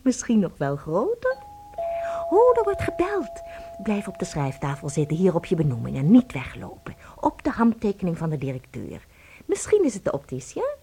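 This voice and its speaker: A woman's voice: lowish voice, wildly swooping pitch, moderate pace, dark tone, slight background noise. An actress in a radio play playing a doting wife who coos tenderly and exclaims as she fusses over her husband. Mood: flustered.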